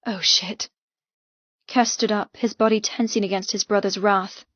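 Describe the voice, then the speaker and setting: A woman's voice: medium pitch, steady tone, measured pace, fairly bright tone, no echo, muffled recording. A woman narrating an audiobook novel in the first person, with an intense, emotive, dramatic delivery.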